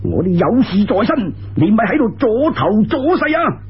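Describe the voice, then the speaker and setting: Guy doing a nasty cantonese thug boss for a folk tale, high raspy voice, taunting, muffled recording. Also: wildly swooping pitch, normal speed, exaggerated voice. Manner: taunting, menacing, crude. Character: sneering, bullying male street ruffian gang leader.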